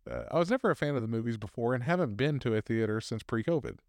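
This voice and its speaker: A man's voice: medium pitch, expressive, fast talker, dry recording, studio quality. Man reading stories aloud with laughs. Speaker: podcast co-host reading posts aloud. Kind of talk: casual podcast chat.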